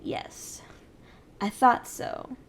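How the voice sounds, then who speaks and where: A woman playing a haughty, ruthless evil sorceress queen in an ASMR roleplay, speaking in an imperious, menacing, condescending way. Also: very slow. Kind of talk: scripted ASMR roleplay addressed to the listener.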